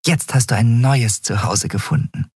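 Man voicing a tiny, high-pitched winged woman. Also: normal speed, darkish tone, husky, no room sound, clean studio sound. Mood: sympathetic. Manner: reassuring, friendly. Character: tiny winged fairy-like woman, cheerful and comforting.

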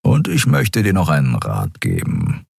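Man voicing a slow, growling giant cat warrior. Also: huge pitch swings, creaky, a little mellow, slightly rough, no echo, studio quality, heavily acted. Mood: menacing. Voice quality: growling, rumbling. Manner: slow, terse, threatening. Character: huge gruff feline warrior, curt and menacing male.

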